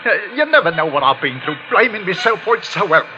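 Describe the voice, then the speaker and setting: An actor playing an older, falsely jovial and crooked uncle with a London accent and an effusive, wheedling manner, in 1940s radio drama style. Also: male voice, high-pitched, sing-song, fast, over music. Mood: wounded wheedling. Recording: muffled, very noisy vintage radio recording.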